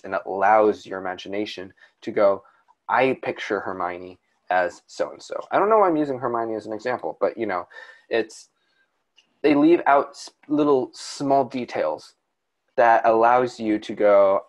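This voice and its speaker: A young man co-hosting a casual podcast, talking at length in a calm, soothing voice, often restarting his sentences. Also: on the low side, poor audio. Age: young adult. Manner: rambling, with many restarts and fillers. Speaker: podcast co-host. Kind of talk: casual chat.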